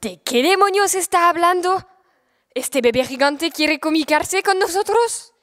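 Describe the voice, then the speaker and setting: Casting: young female player for proud deluded errant knight, high voice, pompous declamation, student audio fiction. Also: animated, normal speed, fairly bright tone, big-room sound. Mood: defiant. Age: teenager playing the part. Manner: grandiose, declamatory. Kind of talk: amateur student audio drama.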